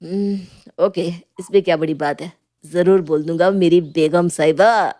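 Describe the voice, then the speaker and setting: Storybook young husband, played by a woman: relaxed attitude, playful, teasing, agreeable. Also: fairly low pitch, moderate pace, pitched below the performer's own voice, lightly acted. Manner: casual, playful, reassuring.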